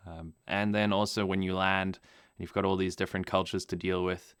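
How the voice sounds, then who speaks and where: A young man who grew up in Namibia, native German speaker, answering interview questions in a relaxed, rambling way with many fillers and restarts. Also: deep voice, moderate pace, smooth, no echo, studio quality. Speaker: guest pilot.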